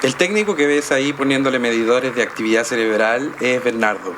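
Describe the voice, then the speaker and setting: A man's voice: mid-range voice, fairly quick, noisy background, natural voice. An actor with a Chilean accent playing an assured, persuasive tech entrepreneur who explains his experiment in a naturalistic audio drama.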